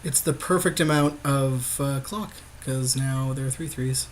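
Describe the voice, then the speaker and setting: A man's voice: mid-range voice, fairly even intonation, a little slow, slight background noise. A man co-hosting a gaming podcast, bantering informally and agreeing as he chats through an online card game. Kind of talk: casual chatty game commentary.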